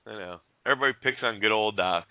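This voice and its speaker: A male host of a casual call-in talk show, rambling in a folksy way and getting hoarse. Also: fairly low pitch, fast, pushed and bright, no echo, low-quality recording. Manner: rambling, folksy, laughs often.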